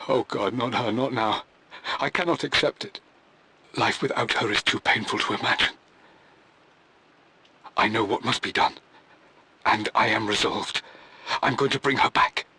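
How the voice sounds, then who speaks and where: A man playing an earnest, intense middle-aged British father and scholar in a video game, speaking in measured, dramatic tones. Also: lowish voice, bright, forceful tone, a little hiss or hum, rough recording. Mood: grief-stricken. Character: grieving, obsessive middle-aged father and scholar, gravely resolute.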